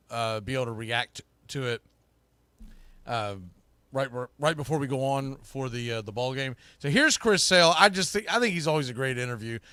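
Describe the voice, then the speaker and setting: A man hosting a sports podcast with casual live commentary, rambling and halting as he thinks aloud. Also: mid-range voice, sharp tone, clean tone, dry recording.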